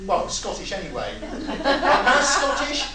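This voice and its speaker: A man leads a casual audience brainstorm in a jokey, exasperated manner. He is heard from a distance in a heavily echoing hall, with clear crowd noise behind him. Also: very high voice, wildly swooping pitch, takes it slowly. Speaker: panel host gathering audience suggestions. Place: convention hall with live audience. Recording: echoey hall from far off, crowd chatter.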